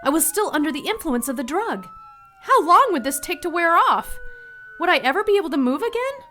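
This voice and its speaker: A woman's voice: very high voice, sing-song, brisk, sharp tone, faint music behind, full character voice. An actress playing a plucky, anxious 1940s young woman who narrates her own adventure in an audio drama, earnest and dramatic. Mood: anxious narration.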